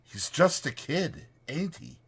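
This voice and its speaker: A man voicing a steady, understanding ranch man in a dramatized audiobook, speaking quietly, slowly and in a measured way. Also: on the high side, creaky, breathy edge, voice pushed up, heavily acted. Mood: calm, thoughtful. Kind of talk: dramatized audiobook character acting.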